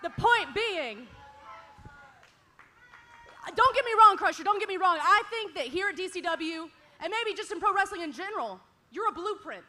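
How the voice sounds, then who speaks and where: Brief: female wrestling talk host, sharp bright tone, mocking. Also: very high-pitched, animated, moderate pace, faint music behind. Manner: sarcastic, mocking, needling. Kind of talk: wrestling talk show promo. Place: indoor arena.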